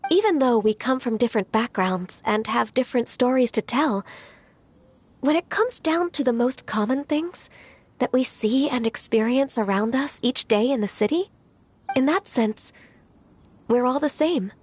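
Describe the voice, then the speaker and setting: A woman voicing a gentle, modest, reflective half-human immortal in a video game, polite and earnest. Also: on the high side, expressive, normal speed, a little hiss or hum, lightly acted. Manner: soft-spoken, polite, earnest. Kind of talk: video game character acting. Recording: music playing behind.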